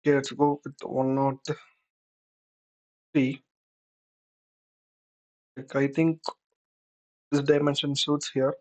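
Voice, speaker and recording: A software tutor giving an unscripted screen-recorded tutorial, explaining step by step while thinking aloud. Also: medium pitch, completely flat delivery, slow, pauses often.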